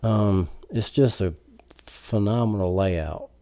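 A man's voice: fairly low pitch, takes it slowly, dark tone. A man chatting casually as a podcast guest, laid-back and plain-spoken. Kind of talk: casual chat about golf.

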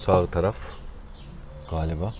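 Low, mellow man in casual chat. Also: steady tone, a little slow. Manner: agreeable, brief replies. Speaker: guest receiving gear. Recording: clear ambient noise behind voice.

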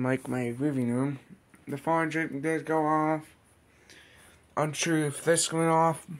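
A man giving casual, halting vlog commentary in a small room on a handheld camera, rambling through a list. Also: a little high. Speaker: weather radio hobbyist vlogger. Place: small bedroom, indoors. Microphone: handheld phone or camera.

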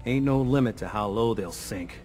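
An actor playing an easygoing, loyal militia mechanic in a video game, talking in a folksy, casual way. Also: male voice, lowish voice, normal speed, darkish tone, faint music behind, close to an everyday voice. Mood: incredulous, casual.

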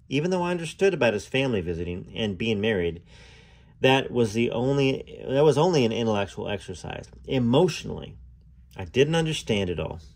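A man hosting and reading a memoir aloud in an expressive storytelling style, with dramatic phrasing and casual asides. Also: lowish voice, slightly rough.

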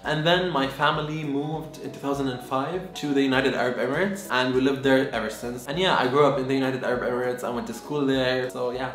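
A young man in his twenties from Lebanon, talking casually to the camera in a lively, chatty way with lots of fillers. He speaks from his bedroom. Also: medium pitch, a little fast, hardly any pauses. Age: 20s. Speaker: vlogger. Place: bedroom, indoors. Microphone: camera in front, medium close. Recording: echoey room with faint music.

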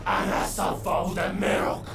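A man's voice: high voice, little pitch movement, moderate pace, roomy sound, far from the mic, over music, lightly acted. A man voicing a hulking, wrathful alien warlord in a cartoon, with a grandiose, threatening delivery. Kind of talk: dubbed cartoon villain acting.

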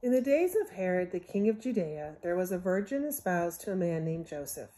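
A woman reading a Bible story aloud from a picture book in measured, scriptural phrasing, as a Sunday school storyteller. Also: on the low side, big pitch swings, dark tone, slight background noise.

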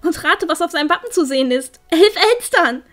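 A woman's voice: very high-pitched, lively intonation, fairly quick, a bit bright. A woman playing an earnest teenage village girl, eager yet unsure, in a fantasy audio drama. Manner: earnest, youthful.

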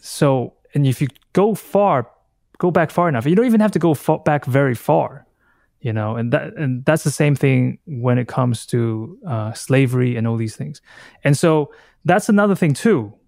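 A man in his thirties from Taiwan, whose first language is Taiwanese, speaks as a guest in a reflective conversation. His mid-pitched voice is recorded dry, with very little room sound. He thinks as he goes, halting and reworking his phrases. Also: expressive, vocal fry, a little mellow, no room sound. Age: 30s. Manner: halting, thoughtful, circles back to rephrase. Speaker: podcast guest, artist.

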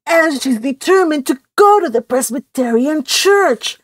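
A woman's voice: high-pitched, expressive, unhurried, no echo, clean studio sound, voice pushed up. A woman voicing a fretful, complaining female cousin in an audiobook, with a whimpering, wailing manner. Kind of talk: audiobook character voice.

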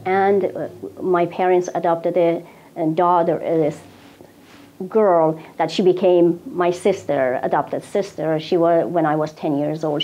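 Brief: earnest woman in her fifties from Iran, lowish voice, halting, lapel mic with a dull, slightly lo-fi sound. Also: darkish tone. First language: Persian. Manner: earnest, halting, with many ums and uhs. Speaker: author. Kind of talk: personal talk to a small audience. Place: small office waiting room.

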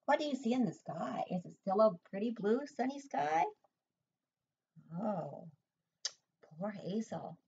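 A woman's voice: medium pitch, a little slow, gravelly, long pauses, a little hiss or hum. Older woman reading a storybook aloud. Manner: expressive read-aloud, asks children questions. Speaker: preschool teacher. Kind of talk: reading a picture book aloud to children. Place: home room, indoors. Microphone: webcam at desk. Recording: dull lo-fi webcam audio.

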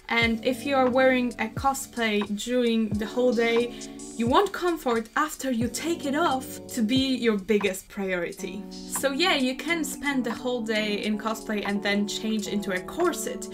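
A young woman with a Polish accent talks in a chatty, animated way, her voice sitting a little high. She speaks close to the camera in her bedroom. Background music plays clearly under her voice. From Poland. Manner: chatty, animated, gestures a lot while talking. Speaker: cosplay vlogger. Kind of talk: casual advice vlog. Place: bedroom at home.

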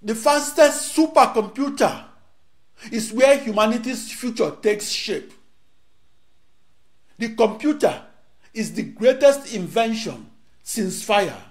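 A middle-aged man from Nigeria, a native Igbo speaker, giving a rehearsed lecture in short declaimed phrases with dramatic pauses, filmed in a studio on a lapel mic. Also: very high voice, expressive.

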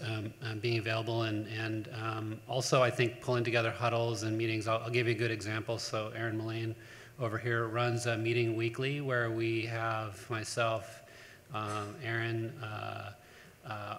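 A man talks on a panel in a big conference hall, his voice flat and level as he stops and restarts with fillers. A long hall reverb hangs behind him with a faint hum. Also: medium pitch. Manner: halting, many fillers and restarts. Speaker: panelist on cell therapy operations. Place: large conference hall with audience.

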